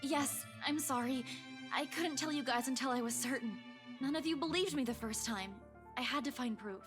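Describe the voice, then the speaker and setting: An actress as high-voiced, anxious, pleading young woman. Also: normal speed, natural voice. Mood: apologetic, pleading. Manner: hesitant, stammering, pleading. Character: distressed, pleading young woman named Lily. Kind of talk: visual novel game voice acting. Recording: music behind the voice.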